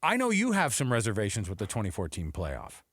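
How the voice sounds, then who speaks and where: A man co-hosting a sports radio show, chatting casually and informally in an animated way. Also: on the low side, fairly quick, husky, no room sound, studio quality. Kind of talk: casual sports talk banter.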